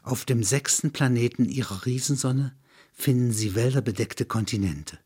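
A man's voice: mid-range voice, normal speed, darkish tone, husky, natural voice. A man narrating a satirical science fiction radio play as a sober chronicler.

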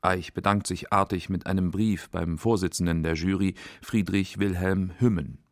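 A man's voice: sits low, steady tone, normal speed, no room sound, clean studio sound. A man reading scripted narration for a radio documentary. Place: dry studio.

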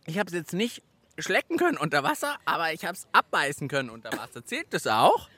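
A man co-hosts a children's radio show. He talks casually and playfully, with highly animated pitch movement. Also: brisk, dry recording.

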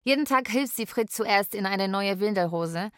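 A woman playing a carer who narrates a daily routine in a radio drama, measured and matter-of-fact. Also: medium pitch, animated, loud music behind the voice, close to an everyday voice.

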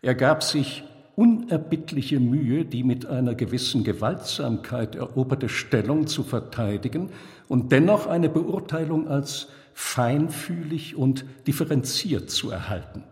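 Male narrator, mid pitch, scripted biographical storytelling, deliberate and dramatic, long reverb. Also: lively intonation, darkish tone. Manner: deliberate, dramatic phrasing with pauses.

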